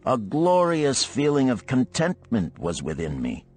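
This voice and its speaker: A man's voice: medium pitch, wildly swooping pitch, a little slow, darkish tone, breathy edge, faint music behind, voice pushed up, exaggerated voice. A man voicing a troubled, poor male chariot builder in an audiobook, with heavy-hearted, archaic speech. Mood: wistful. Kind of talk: audiobook character voice.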